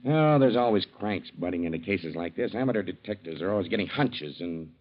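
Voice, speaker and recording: An actor playing a methodical small-town sheriff with an American accent and a firm, measured manner in vintage radio drama. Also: male voice, sits low, expressive. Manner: measured, insistent, authoritative. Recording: dull lo-fi old radio recording.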